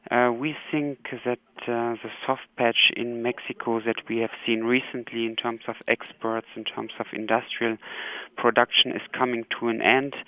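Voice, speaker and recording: A man giving halting, analytical answers as an expert guest over a tinny telephone line. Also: medium pitch, dry recording. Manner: hesitant, halting phrasing. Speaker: bank economic analyst, interview guest. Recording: tinny, narrow phone line.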